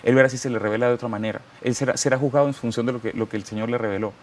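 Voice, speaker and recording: A man's voice: medium pitch, steady tone, very fast. A man with a Venezuelan accent, a guest giving a fervent, preacherly testimony and quoting scripture. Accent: Venezuelan Spanish. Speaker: interview guest. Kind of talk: testimony interview.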